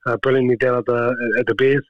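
A middle-aged man, chatting as a guest in a relaxed way, often repeating words as he gathers his thoughts. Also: medium pitch, fairly quick, no echo. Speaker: guest, rugby skills coach. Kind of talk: casual interview chat. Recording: slightly dull lo-fi sound.

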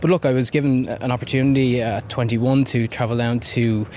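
A man's voice: mid-range voice, steady tone, a little fast, darkish tone, a little hiss or hum. A young man, an airline recruitment officer, speaking eagerly in an interview. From Ireland. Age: young adult.

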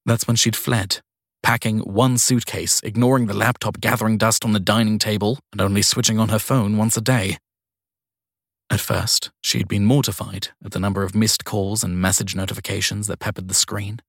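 A man's voice: on the low side, flat delivery, moderate pace, slightly rough, no echo, studio quality. A man reading crime fiction aloud as scripted audiobook narration.

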